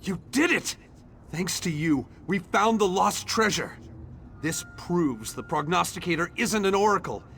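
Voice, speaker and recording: A man's voice: sits high, lively intonation, measured pace, fairly bright tone, slight background noise, exaggerated voice. A man voicing a hopeful, grateful young townsman in video game dialogue, speaking earnestly and with a declamatory turn. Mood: delighted. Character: hopeful, grateful young townsman defying fate and rallying his people. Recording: background music under the voice.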